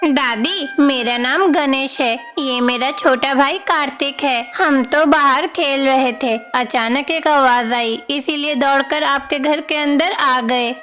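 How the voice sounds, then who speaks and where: Woman voicing a high-pitched, earnest little boy. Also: slow pace, few pauses, a bit bright, faint music behind. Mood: polite, explaining. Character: young boy god disguised as orphan, earnest and caring. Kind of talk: devotional animated story dialogue.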